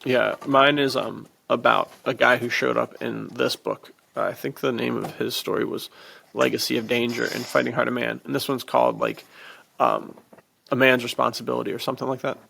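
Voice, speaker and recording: A man with a heavily gravelly, fry-laden voice at an ordinary male pitch. He talks like an enthusiastic podcast co-host, informal and emphatic, in loose casual phrases. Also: little pitch movement, a little fast, bright, forceful tone, slight background noise. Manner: emphatic, informal, peppered with "dude" and "like". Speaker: podcast co-host and fiction author.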